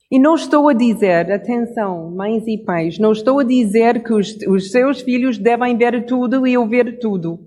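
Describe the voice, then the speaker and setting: Middle-aged preacher type, calm reflective pace, fixes own words now and then. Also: mid-range voice. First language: English. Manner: reflective, measured, with small self-corrections. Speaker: devotional speaker. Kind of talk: Bible devotional message.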